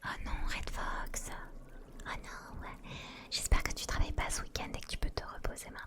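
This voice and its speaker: A woman hosting a casual ASMR live chat, speaking softly and intimately to viewers in a breathy whisper. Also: a little fast. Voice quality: breathy, mostly unvoiced whisper. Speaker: ASMR streamer, host.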